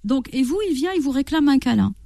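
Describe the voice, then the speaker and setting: A woman's voice: sits high, fast, gravelly, no room sound, clean studio sound. A middle-aged woman giving parenting advice as an interview guest, talking expressively and acting out the inner voices of stressed parents. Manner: animated, acts out inner voices.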